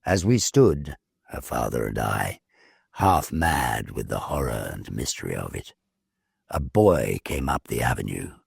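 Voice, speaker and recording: A man reading a ghost story as dramatic narration, theatrical, measured and full of suspense. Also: rumbling low, big pitch swings, vocal fry, husky, dry recording, studio quality.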